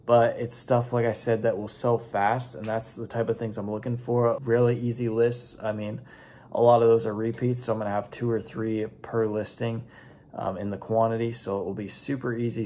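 A man's voice: medium pitch, darkish tone. A young man chatting informally and in slangy terms, like a vlogger, recorded on a camera at home. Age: young adult. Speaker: online reseller and vlogger. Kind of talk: casual vlog chat. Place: indoors at home, small room. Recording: clearly noisy home recording.

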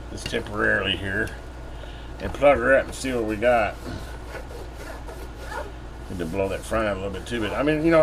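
A middle-aged American man giving casual, rambling repair commentary, thinking aloud in a folksy way. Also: mid-range voice. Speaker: repair channel host. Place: indoor workbench. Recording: steady room hum, air-conditioner-like noise.

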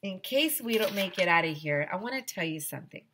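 A woman's voice: lowish voice, expressive, a little fast, bright, forceful tone, slight background noise. A woman voicing a romantic, dramatic young schoolgirl in a children's storybook. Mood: earnest.

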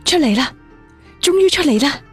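A man with a Cantonese accent voicing a determined, intense young martial-arts hero in an audio story, in a husky, strained voice. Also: very high voice, expressive, normal speed, faint music behind, pitched above the performer's own voice. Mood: excited.

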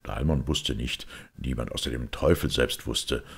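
Man reading as a deep, gravelly priest detective. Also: a little slow, fairly bright tone, heavily acted. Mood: grave explaining. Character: calm, shrewd priest detective explaining a crime.

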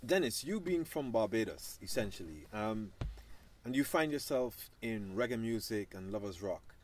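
A man interviewing a musician, asking measured, polite questions in a studio. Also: fairly low pitch, very animated, slight background noise.

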